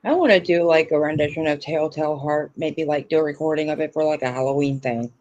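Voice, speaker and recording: A co-host joins a relaxed evening chat, speaking at a middle pitch. The voice is soft, and the replies are mostly brief and easygoing. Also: fast talker, dull audio, slight background noise. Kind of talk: casual chat.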